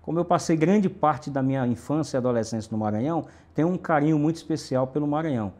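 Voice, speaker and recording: Emphatic man with a mellow voice. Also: on the high side, fast. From Brazil, Northeast. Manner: emphatic, speechmaking with lists and repetition. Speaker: city councillor guest. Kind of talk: interview answers.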